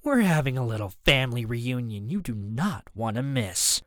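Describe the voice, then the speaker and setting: A man's voice: medium pitch, sing-song, moderate pace, a bit bright, no room sound, studio quality. A man voicing a cold male villain in an audiobook, commanding and mocking as he makes his threats. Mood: menacing taunt. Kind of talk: audiobook character dialogue.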